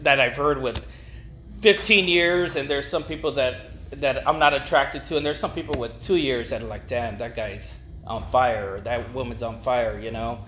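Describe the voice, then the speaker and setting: A middle-aged man gives an informal personal testimony, rambling and haltingly repeating words. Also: mid-range voice, fairly bright tone. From East Los Angeles, United States. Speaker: speaker at a recovery meeting. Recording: echoey room with faint noise.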